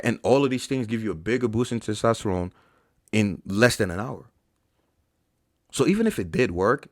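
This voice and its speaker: Young male fitness host, deep voice, dry booth sound, profane and exasperated. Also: no echo. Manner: blunt, profane, exasperated debunking. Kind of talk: live Q&A, reading chat questions.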